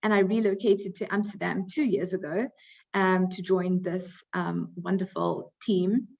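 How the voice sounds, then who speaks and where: A woman's voice: on the low side, little pitch movement, measured pace, gravelly, a little mellow. A South African woman hosting a panel webinar as a recruiting coordinator, friendly, with frequent ums and uhs. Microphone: video call. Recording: slightly dull video call audio.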